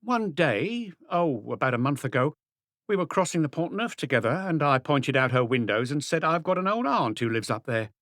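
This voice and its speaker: Casting: male reader as stony, defensive middle-aged woman for audiobook, raised pitch, swooping delivery, scornful and curt. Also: big pitch swings, normal speed, fairly bright tone, no room sound, studio quality. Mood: wary. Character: stony-faced, tough, distrustful middle-aged French woman, defiant and defensive. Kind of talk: audiobook character dialogue.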